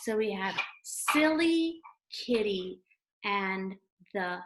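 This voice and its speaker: A woman's voice: mid-range voice, lively intonation, extremely slow, noisy background. A woman teacher giving a read-aloud lesson to young children, speaking simply and asking them questions, recorded at home. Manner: simple, child-directed talk with questions and sounded-out letters.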